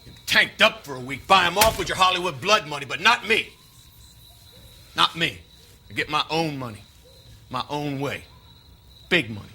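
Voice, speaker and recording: An actor playing a volatile, resentful drifter brother in a stage drama, with an American accent and a gruff, needling, profane manner. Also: male voice, on the high side, expressive, normal speed, pauses often, pushed and bright. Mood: angry, contemptuous. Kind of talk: naturalistic stage-play acting. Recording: noisy recording, chirping crickets behind.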